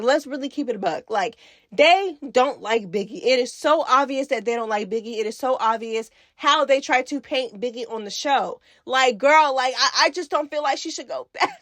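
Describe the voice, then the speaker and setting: A woman's voice: high-pitched, fairly bright tone, dry recording, studio quality. A woman giving casual, opinionated reality TV commentary in a sassy, slangy, emphatic way. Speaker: YouTube reality TV recap host.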